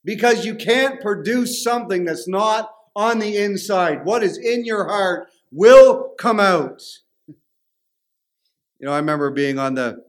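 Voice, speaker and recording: Canadian man preaching, slightly high voice. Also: lively intonation, slow. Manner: conversational storytelling preaching. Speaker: pastor. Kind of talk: sermon.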